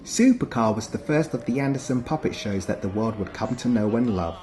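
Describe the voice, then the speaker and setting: A man's voice: mid-range voice, lively intonation, brisk, mellow, a little hiss or hum. A man reading scripted narration in the style of a nostalgic documentary countdown.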